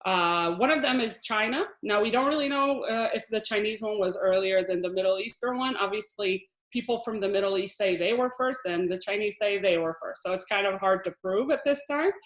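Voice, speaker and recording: A woman's voice: medium pitch, fairly quick, hardly any pauses. A woman in her thirties from Israel, a Hebrew teacher giving a chatty online lesson over a video call, explaining with frequent restarts and small laughs. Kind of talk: online lesson with shared slides. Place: room at home, video call. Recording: echoey room on a video call.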